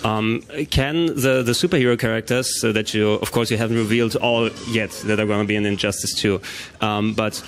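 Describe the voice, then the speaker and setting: A man working as an interviewer, putting questions in a halting, conversational way. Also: lowish voice, fairly even intonation.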